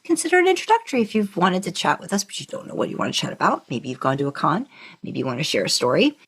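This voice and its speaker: A woman's voice: fairly low pitch, very animated, fast talker, a bit bright, husky. Casual, conversational woman podcast host.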